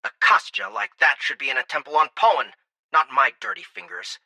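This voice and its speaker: A gruff alien commander for a sci-fi audiobook. The voice is very bright and cutting, with a gravelly creak. It swings up and down as it barks and scolds in clipped orders. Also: male voice, on the high side, lively intonation, normal speed, dry recording, pitched above the performer's own voice, full character voice. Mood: irritated, scolding. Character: gruff, hot-tempered alien military commander. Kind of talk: audiobook character acting.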